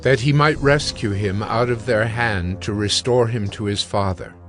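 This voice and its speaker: A man narrating scripture in a measured, solemn storytelling style. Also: on the low side, fairly bright tone, soft background music. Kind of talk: scripted dramatic Bible narration.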